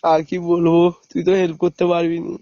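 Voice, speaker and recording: A man's voice: on the high side, completely flat delivery, fast, no room sound, muffled recording. A young man chatting casually on phone calls as a prank caller, hesitant and coaxing. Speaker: prank caller, vlog host. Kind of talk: casual phone chat with friends.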